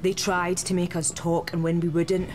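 An actress playing a weary female resistance fighter in a video game, speaking in an urgent, measured way. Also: fairly low pitch, flat delivery, a little mellow, slight background noise, close to an everyday voice. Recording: game audio under music.

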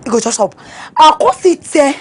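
A woman's voice: high-pitched, normal speed. An actress playing a boastful young woman bound for university, speaking Nigerian Pidgin with a bragging, swaggering manner. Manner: bragging, swaggering, animated storytelling.

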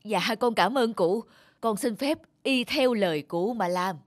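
A man's voice: sits high, measured pace, faint music behind. A man voicing a stout, proud, blustering village landlord in a cartoon, with an emphatic, formal way of talking. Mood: commanding. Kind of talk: cartoon dialogue.

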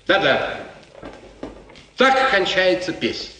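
A scheming court chamberlain in a 1950s radio play. His highish male voice swings widely as he fawns and insinuates. It sounds distant, in an echoing hall. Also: sing-song, slow pace, sharp tone, slight background noise, heavily acted. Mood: smooth, self-justifying. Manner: theatrical, insinuating.

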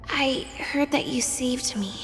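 A woman voicing an earnest, stubborn young warrior girl in a game, with a solemn, deliberate delivery. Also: high voice. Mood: faint, earnest. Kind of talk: dramatic game voice acting. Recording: long reverb with music behind.

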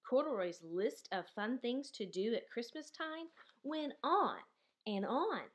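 A woman reads a children's storybook aloud. Her voice is fairly high and very animated, swooping up and down. She goes slowly and deliberately, stretching words out expressively. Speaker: storybook reader. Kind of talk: children's picture book read-aloud.

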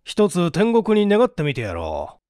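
A man's voice: high-pitched, wildly swooping pitch, moderate pace, darkish tone, dry recording, studio quality. A man playing a good-natured, casually obliging prison guard in an audiobook story.

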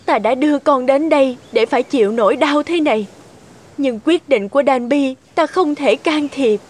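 A woman's voice: high voice, moderate pace, darkish tone, natural voice. A woman voicing a regal, motherly sea goddess in a cartoon fairy tale, solemn and lamenting. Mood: regretful.

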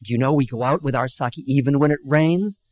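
A man's voice: mid-range voice, a little fast, darkish tone, no room sound, dull audio, natural voice. A man voicing a tiny, joyful old Japanese man in his seventies, with a lilting, beaming manner. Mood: delighted.

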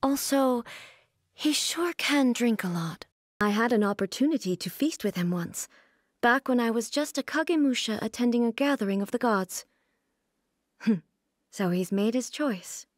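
A regal, reserved warrior goddess. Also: female voice, fairly high pitch, expressive, moderate pace, dry recording, studio quality. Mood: composed. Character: regal female warrior god, composed and stern. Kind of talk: game character voice line.

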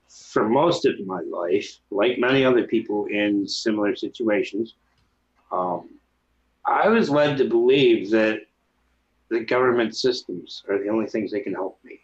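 A man in his thirties from New York, a guest speaking calmly with many pauses over a video call from home. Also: lowish voice. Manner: calm, plain, many filler pauses. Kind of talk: casual interview chat. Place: home room. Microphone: video call with webcam mic. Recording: dull lo-fi webcam audio.

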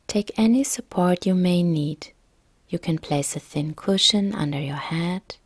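A woman yoga nidra instructor narrating a guided relaxation meditation in a calm, soft, gentle, breathy way. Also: fairly low pitch, no room sound.

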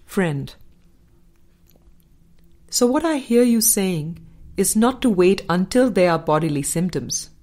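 A woman narrating a spiritual book aloud in a measured, deliberate way, pausing between phrases. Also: mid-range voice, big pitch swings, a little mellow. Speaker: audiobook narrator.

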